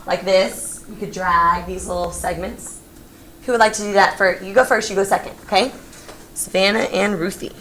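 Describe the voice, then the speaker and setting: A woman's voice: medium pitch, moderate pace. Woman geometry teacher, playful and coaxing, heard through a roomy classroom with faint background hum. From Texas. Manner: lively, playful, coaxing students. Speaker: high school geometry teacher. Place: classroom, recorded from the back. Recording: echoey classroom, faint room noise.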